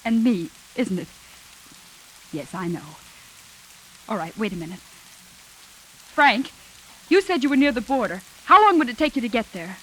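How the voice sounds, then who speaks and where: An actress playing a guarded, brave female hostage in 1940s radio noir style, speaking earnestly with hesitant pauses. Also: fairly high pitch, sing-song, fairly bright tone, poor audio. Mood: anxious, pleading. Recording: hissy 1940s radio recording, rain behind.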